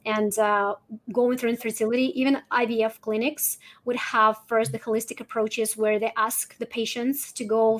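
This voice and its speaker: A woman with a Russian accent and a somewhat high-pitched voice speaks as a guest coach in a conversational interview. She explains step by step, often punctuating her phrases with a checking "right?". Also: a bit bright. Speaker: guest, holistic fertility coach.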